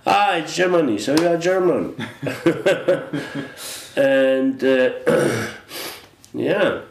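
Reflective Polish-accented man, halting speech. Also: on the high side, gravelly, echoey room. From Poland. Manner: halting, restarts often, reflective. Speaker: guest. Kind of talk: casual interview chat.

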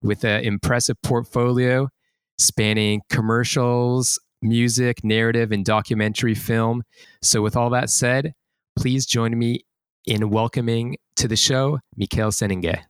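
A man hosting a podcast interview, chatty and relaxed, introducing his guest and asking questions. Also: medium pitch, measured pace, clean tone, no echo. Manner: relaxed, chatty, uses fillers like "cool, cool". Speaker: podcast host.

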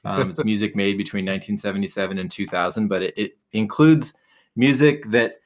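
A man co-hosting a podcast, chatting casually in a relaxed, rambling way with many fillers and restarts. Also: lowish voice, fairly quick. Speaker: podcast co-host. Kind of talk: casual chat.

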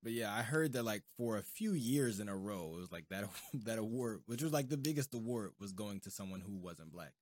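A man's voice: fairly low pitch, animated, a little fast, few pauses, darkish tone, no room sound. Casual man chatting on a podcast. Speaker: podcast host.

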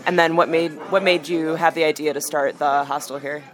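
A man's voice: fairly high pitch, fairly even intonation, a little fast. A man interviewing someone for a travel piece, asking questions in an upbeat, chatty way with frequent "like" and "you know".

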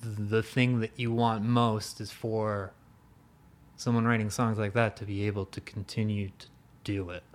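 A man's voice: lowish voice, level tone, moderate pace. A man hosting a casual interview, rambling and searching for words, with an easy, swearing-in-passing manner. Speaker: podcast host.

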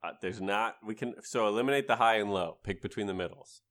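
A man's voice: lowish voice, quick pace, fairly bright tone. A man chatting casually on a podcast, chipping in with short, blunt remarks. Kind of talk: casual chat.

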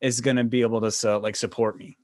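A man's voice: mid-range voice, level tone, quick pace, a little mellow, no room sound, clean studio sound. A young American man hosting a podcast, asking questions casually in a loose, hesitant, rambling way. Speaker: podcast host. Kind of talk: casual chat.

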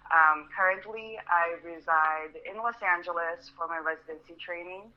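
A woman's voice: lowish voice, moderate pace, highs cut off. A woman in her thirties from Russia, a guest talking chattily and upbeat about her path into medicine. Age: 30s. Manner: chatty and upbeat, frequent "um" and laughs. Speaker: guest, medical resident and bikini competitor. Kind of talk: casual interview conversation.

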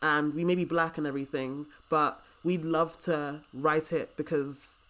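A woman's voice: sits low, steady tone, measured pace, a bit bright. A woman with a British accent hosts a podcast interview as a casual chat. She is informal and agreeable, speaking in an easy conversational register. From Britain.

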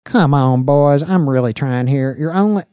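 A man's voice: mid-range voice, moderate pace, mellow, clean tone, dry recording, voice pushed up, natural voice. A man voicing a scruffy, unfazed moonshiner in an audiobook, with an American country accent and a sly, folksy manner. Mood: cocky, defiant.

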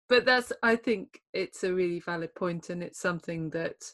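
A woman's voice: lowish voice, measured pace, a bit bright. A middle-aged woman hosting a casual podcast chat, thoughtful and easy to laugh, often restarting her sentences. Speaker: podcast host. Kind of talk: casual conversation.

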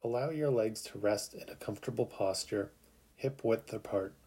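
A man narrating a guided sleep meditation in a calm, soothing, unhurried way with long pauses. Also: on the low side, slightly rough.

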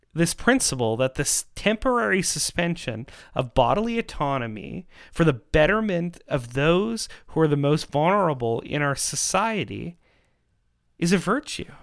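A man giving a persuasive monologue in an emphatic, rhetorical manner, with dramatic pauses and repetition. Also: on the high side, sing-song, clean tone, no room sound, studio quality. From Canada. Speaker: podcast host and pro-life activist.

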